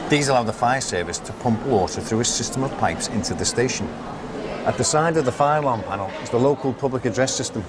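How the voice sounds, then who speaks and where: A man playing an experienced station supervisor, calmly and methodically instructing a new recruit in a scripted training film, recorded over heavy noise. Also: lowish voice, close to an everyday voice. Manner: methodical, checks understanding.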